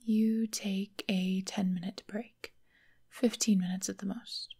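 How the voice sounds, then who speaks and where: A woman voicing a refined, affectionate vampire mistress in a soft, breathy ASMR roleplay whisper, gentle and soothing. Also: medium pitch, level tone. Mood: coaxing, soothing. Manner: gentle, unhurried, genteel, affectionate endearments. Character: caring, refined female vampire mistress, long-lived doting lover.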